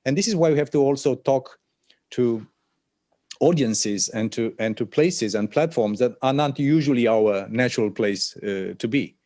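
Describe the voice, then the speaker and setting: Official, male, mid-pitched, mellow; measured delivery with pauses, online panel. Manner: measured, thoughtful, with frequent pauses. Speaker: alliance official answering questions. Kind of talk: panel conversation answer. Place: remote location for an online panel. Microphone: video call.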